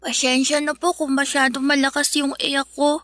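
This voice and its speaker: A sick little boy in a Filipino radio drama. The voice is high and keeps to a narrow, even line. He is frail, polite and soft-spoken with his mother. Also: normal speed, no room sound. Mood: meek, tired.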